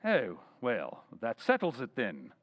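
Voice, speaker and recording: An actor playing a keen, self-assured English detective in old-time radio drama style, courteous, theatrical and inquisitive. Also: male voice, mid-range voice, wildly swooping pitch, slow pace, heavily acted. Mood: suavely polite.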